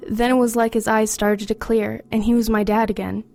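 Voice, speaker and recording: A woman's voice: a little high, little pitch movement, brisk, creaky, a little mellow. A woman playing an anxious, defiant teenage girl in an audio horror drama. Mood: uneasy narrating. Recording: background noise and music under voice.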